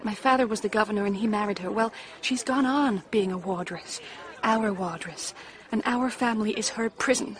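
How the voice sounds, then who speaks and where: An actress playing a timid, downtrodden woman in her twenties in a radio drama, hesitant, subdued and breathy. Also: mid-range voice, normal speed, natural voice. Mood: anxious, despairing. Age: 20s.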